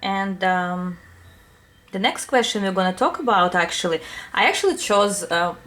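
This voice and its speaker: A woman with a Russian accent, a tarot reader answering her viewers' questions in a soft, unhurried casual talk full of small fillers. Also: mid-range voice, lively intonation.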